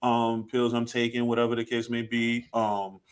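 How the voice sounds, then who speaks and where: A man's voice: medium pitch, monotone, normal speed, clear, resonant tone. A man in his late thirties, co-hosting a podcast, talking in a rambling, reflective way with lots of restarts. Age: 30s. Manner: rambling, self-reflective, many restarts and "you know". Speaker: podcast co-host. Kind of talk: casual podcast chat.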